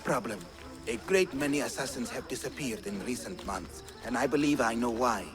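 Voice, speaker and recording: A grave assassin acted by a man in a video game, mid-pitched and formal. He sounds troubled and serious, with loud music behind him. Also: animated, moderate pace, lightly acted. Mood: grave, urgent. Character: grave Turkish assassin ally, troubled and urgent. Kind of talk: video game cutscene acting.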